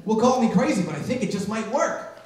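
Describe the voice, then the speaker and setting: A man's voice: high-pitched, expressive, quick pace, darkish tone, recorded from a distance, a little hiss or hum. Eager young general with a wacky plan, sheepish and goofy, played by a man in a radio comedy, very echoey room. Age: young adult. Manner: casual, sheepish, comic delivery. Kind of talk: live old-time radio comedy skit.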